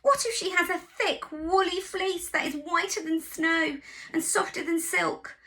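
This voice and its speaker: A woman's voice: very high-pitched, a little slow, sharp tone, pitched above the performer's own voice, heavily acted. Woman doing a bleating, fussy sheep. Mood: upset. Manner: bleating, complaining. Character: fussy, fretful farm sheep.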